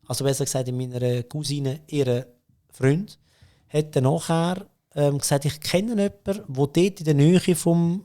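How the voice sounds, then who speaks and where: Swiss German businessman guest, middle-aged, relaxed storyteller with a slightly raised pitch, laughs easily. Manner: chatty, laughs often, many fillers and restarts. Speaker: podcast guest, company CEO. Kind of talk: casual conversational storytelling.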